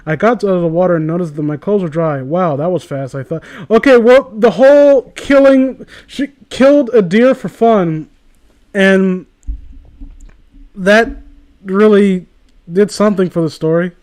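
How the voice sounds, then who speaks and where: A man's voice: high voice, unhurried, darkish tone. A man reading a story aloud as a comedy host, breaking off into sarcastic, incredulous, sweary commentary.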